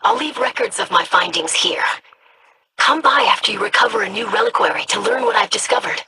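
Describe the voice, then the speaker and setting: A formal, curious young alien scholar for a video game, speaking in a measured, studious way. Her voice is bright and sits at a middle pitch. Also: lively intonation, slight background noise. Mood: scholarly. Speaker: researcher.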